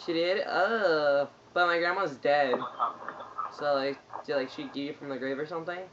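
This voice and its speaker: Guy trolling in a squeaky whiny voice. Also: fairly even intonation, normal speed, muffled recording, background noise. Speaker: gamer trolling in online voice chat. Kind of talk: prank voice chat during online shooter.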